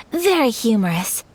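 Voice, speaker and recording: Anime game clan heiress: young, elegant, formal and measured, high voice with melodic swings. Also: female voice, slight background noise, full character voice, poor audio. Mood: cool, proud. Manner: formal, courteous, measured, composed. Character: poised, polite young noblewoman of a powerful clan.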